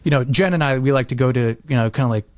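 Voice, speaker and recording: A man's voice: mid-range voice, quick pace. A middle-aged man hosting a podcast in a casual, slangy, chatty way. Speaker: podcast host.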